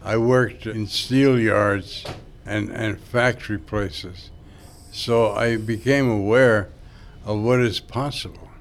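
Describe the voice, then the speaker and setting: An older man whose first language is Greek, a sculptor musing slowly and thoughtfully about his work. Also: on the low side, fairly even intonation, a little hiss or hum. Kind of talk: interview reflection.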